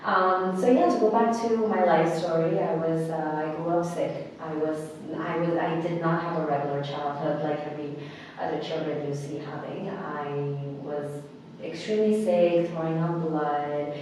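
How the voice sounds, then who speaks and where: A woman in her thirties from Bangladesh, telling her life story as an interview guest in an earnest, halting way with many restarts. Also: low voice, a little mellow, a little hiss or hum. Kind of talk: personal storytelling in conversation. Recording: echoey hall, mic at a distance.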